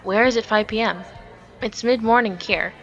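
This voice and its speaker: Woman playing a flustered, high-pitched naive angel girl. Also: moderate pace, fairly bright tone, highs cut off, faint music behind. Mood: puzzled. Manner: indignant, flustered, questioning.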